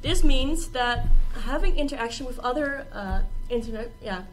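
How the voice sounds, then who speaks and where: A woman's voice: sits high, expressive, normal speed, soft background music. A young adult woman, a student giving a prepared presentation. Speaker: student speaker. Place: small stage in a presentation room.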